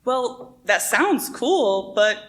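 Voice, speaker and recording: A woman playing a hesitant young woman steeling herself in an audio drama, speaking in a measured, tentative way. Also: on the high side, big pitch swings, heavily acted.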